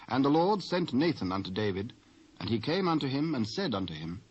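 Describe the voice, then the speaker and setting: A male narrator with a British accent reads scripture from a script. His voice is husky and of medium pitch. The recording is a dull, aged cassette. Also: sing-song, a little fast, a little mellow. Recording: dull old cassette tape.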